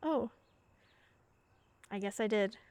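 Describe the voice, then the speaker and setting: A woman playing a shy teenage girl in a radio play, speaking softly and hesitantly. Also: mid-range voice, takes it slowly. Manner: quiet, hesitant, soft-spoken. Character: shy teenage girl, new in town, quiet. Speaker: daughter.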